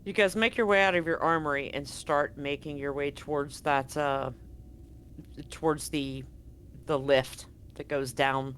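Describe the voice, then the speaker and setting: A man narrating a tabletop horror game as game master, in a relaxed, descriptive way. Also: on the high side, a little slow, slight background noise.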